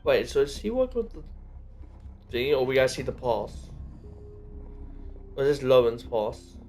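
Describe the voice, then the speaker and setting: A man's voice: medium pitch, big pitch swings, normal speed, darkish tone, faint music behind. A man giving casual live commentary as he plays through a game's story. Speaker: gamer reacting to a story quest.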